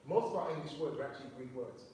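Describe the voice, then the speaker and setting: A man's voice: mid-range voice, expressive, very fast, warm tone. A man teaching a sermon to his fellowship, explaining words and asking the listeners questions. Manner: explanatory, reads quotes aloud, asks listeners questions. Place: church hall. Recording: very echoey room, mic far off, faint noise.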